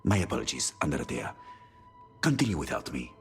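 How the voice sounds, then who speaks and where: A man's voice: a bass voice, sing-song, fairly quick, gravelly, faint music behind, heavily acted. An actor playing a withdrawn, evasive, burdened male assassin in a video game. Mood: defensive, weary.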